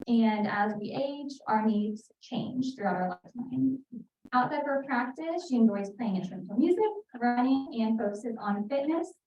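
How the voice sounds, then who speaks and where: A woman's voice: on the high side, normal speed. A woman host reading a formal introduction of a guest speaker. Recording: distant mic, roomy, dull, faint noise.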